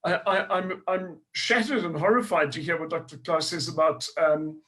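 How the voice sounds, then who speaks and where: A man's voice: on the high side, normal speed, sharp tone. A middle-aged man with a South African accent, a historian speaking as a guest in a panel discussion, earnest and thoughtful, often restarting his phrases. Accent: South African English.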